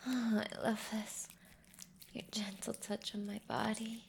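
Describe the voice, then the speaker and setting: A woman voicing an affectionate, ancient horned and tailed demon woman in an intimate audio roleplay, murmuring softly to the listener in a breathy whisper. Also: mid-range voice, flat delivery, background noise. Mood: doting. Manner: soft, slow, intimate murmuring.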